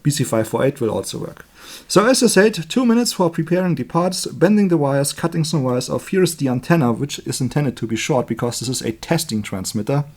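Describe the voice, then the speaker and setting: A man with a German accent, a native German speaker, giving an informal hands-on electronics tutorial in a chatty, halting way, correcting himself as he works. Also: a little high, expressive. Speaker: electronics hobbyist demonstrating a circuit build. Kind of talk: informal hands-on tutorial, explaining while working.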